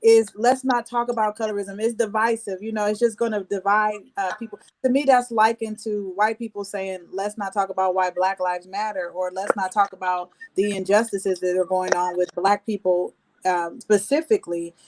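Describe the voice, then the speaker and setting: A woman's voice: medium pitch, level tone, moderate pace, darkish tone, a little hiss or hum, rough recording. A woman hosting a casual discussion, talking in a reflective, conversational way. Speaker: host.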